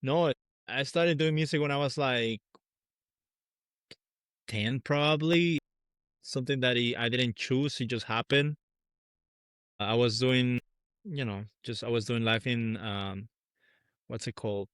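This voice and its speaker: A man from Peru whose first language is Spanish, a guest drummer speaking in an easygoing, soft-spoken way and searching now and then for English words. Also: medium pitch, measured pace, pauses often, clean tone, no echo. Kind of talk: casual podcast interview.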